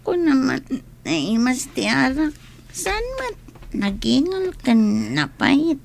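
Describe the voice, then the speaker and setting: An actress with an Ilocano accent playing an anxious, reluctant young student in a radio drama. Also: female voice, sits high, big pitch swings, slow pace, gravelly, slight background noise, heavily acted.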